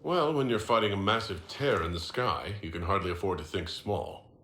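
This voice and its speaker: A man's voice: low voice, moderate pace, bright, forceful tone. An actor playing a firm, pragmatic hero in a video game, direct and assertive as he negotiates. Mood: businesslike, firm. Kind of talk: dramatic video game acting. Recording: roomy echo, faint noise.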